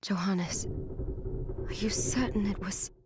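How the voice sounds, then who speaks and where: An actress playing a determined, loyal young demon fighter in a video game, earnest and dramatic. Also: female voice, mid-range voice, slow pace, whispered, natural voice. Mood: soft, troubled. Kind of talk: video game cutscene acting. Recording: clear music under the voice.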